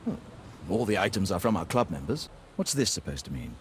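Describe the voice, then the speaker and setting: An actor playing a stuffy, defensive club gentleman in a video game. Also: low-pitched, big pitch swings, fairly quick, background noise. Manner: formal, indignant. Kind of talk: video game cutscene acting.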